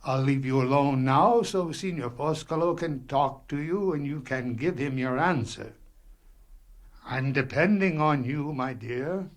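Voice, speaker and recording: Man playing a slow-spoken, pleading poor father. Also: mid-range voice, natural voice. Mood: earnest, pleading. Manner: deliberate, formal, pleading. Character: poor, earnest, pleading father of grown daughter. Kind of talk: radio play acting.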